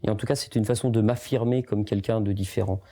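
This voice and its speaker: A man's voice: lowish voice, steady tone, fast, warm tone. A middle-aged man born in Portugal, speaking reflectively in an interview with many hesitations and restarts. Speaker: documentary interviewee.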